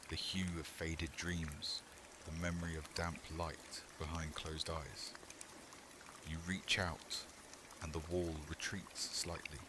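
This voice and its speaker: A man reading a scripted second-person horror story in ASMR style, hushed and measured, in short phrases with long pauses. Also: deep voice, noisy background. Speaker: horror sleepcast narrator.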